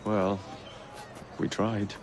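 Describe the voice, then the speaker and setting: A man's voice: on the low side, level tone, very slow, background noise. A man playing a cocky, brash young assassin and gang leader in a video game, flippant and sardonic. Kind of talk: video game cutscene acting.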